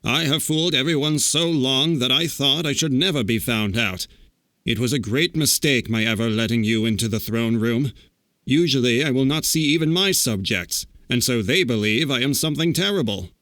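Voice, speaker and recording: A man's voice: mid-range voice, normal speed, fairly bright tone, no echo, studio quality, natural voice. A man voicing a timid little old humbug wizard in a storybook, trembling and pleading. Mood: confessing.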